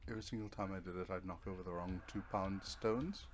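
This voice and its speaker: A man's voice: low-pitched, fairly even intonation, fairly quick, slight background noise. A man giving casual let's play commentary on a video game, explaining tactics step by step in an offhand way.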